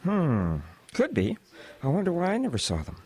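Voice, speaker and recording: Friendly trucking boss, husky male voice with lively, swinging pitch, audio drama dialogue. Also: moderate pace, heavily acted. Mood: puzzled, probing.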